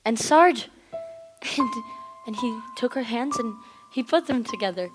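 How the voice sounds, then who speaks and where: A woman plays a dazed, awestruck young stage character in a high voice. The delivery is slow and theatrical, and music plays clearly behind it. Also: expressive, low-quality recording. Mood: breathless excitement. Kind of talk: stage play acting.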